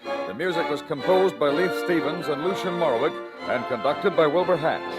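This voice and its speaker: A man announcing in formal, measured 1950s radio style, reading scripted credits. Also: fairly high pitch. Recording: old radio recording, loud music behind.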